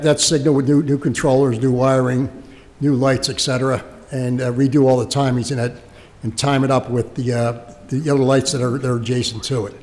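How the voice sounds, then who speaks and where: An American man presenting a project at a public meeting as a local official, speaking off the cuff in a halting way and repeating words. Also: medium pitch, creaky, a little mellow. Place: indoor township meeting room. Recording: reverberant meeting hall.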